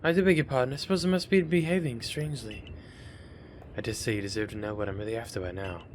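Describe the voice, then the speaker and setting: A man's voice: mid-range voice, fairly even intonation, fast, a little hiss or hum, voice pushed down, close to an everyday voice. A man voicing a polite but obsessive scheming villain for a fan-made game series, brooding and intense. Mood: brooding, smug.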